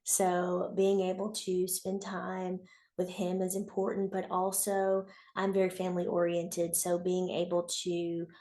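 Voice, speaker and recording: A woman's voice: on the low side, completely flat delivery, unhurried, warm tone. Level-voiced young woman nurse. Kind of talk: interview answers. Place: home room. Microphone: video call webcam.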